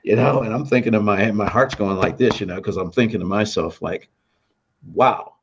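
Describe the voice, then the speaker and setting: A man's voice: lowish voice, fairly quick, slightly rough. Older American man storytelling, muffled sound. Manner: animated, acts out quoted dialogue. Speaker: veteran radio announcer telling career memories. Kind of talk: casual anecdotal storytelling.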